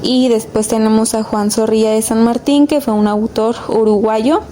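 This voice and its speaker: A woman's voice: fairly high pitch, fairly even intonation, moderate pace, mellow, a little hiss or hum. A woman presenting a student podcast, explaining informally in a hesitant, conversational way.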